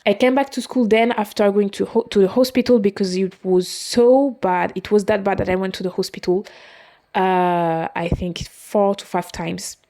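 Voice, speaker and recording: A woman in her twenties, a non-native English speaker, hosting a podcast and talking through her own story in a halting, confessional way, often stopping to correct herself. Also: medium pitch, fairly even intonation, dark tone. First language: not English. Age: 20s. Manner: halting, with frequent restarts and self-corrections. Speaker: podcast host. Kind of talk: personal confessional monologue.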